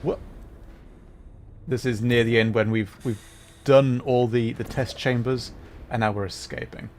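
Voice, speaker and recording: A man streams a video game and talks along as he plays. His voice sits at a middling pitch as he chats casually with his viewers. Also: steady tone, a little slow, darkish tone, a little hiss or hum. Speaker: livestreamer playing a video game. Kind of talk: casual live game commentary and chat with viewers.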